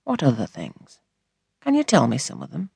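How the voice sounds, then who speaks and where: A woman voicing a kindly gentleman apothecary in a storybook reading, gentle, reassuring and musing. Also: a bass voice, wildly swooping pitch, normal speed, creaky, breathy edge, no room sound, heavily acted.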